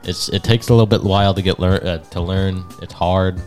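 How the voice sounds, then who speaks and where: A man's voice: deep voice, steady tone, brisk, darkish tone. A man hosting a casual gaming podcast among friends, talking enthusiastically in slangy, profane language. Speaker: podcast host. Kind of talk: casual chat among friends, game ranking. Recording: background music under the voice.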